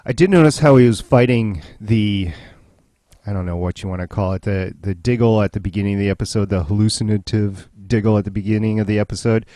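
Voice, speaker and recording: A man's voice: on the low side, quick pace, warm tone, dry recording. A man hosting a podcast, chatting casually and jokily about television. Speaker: podcast host. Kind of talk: casual TV recap chat.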